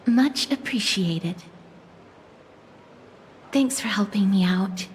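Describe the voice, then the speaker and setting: An actress playing a reserved, polite and gentle young noblewoman in a video game, speaking formally and softly. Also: mid-range voice, expressive, slow pace, a little hiss or hum. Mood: grateful, shy. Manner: formal, courteous, soft-spoken. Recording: music behind the voice.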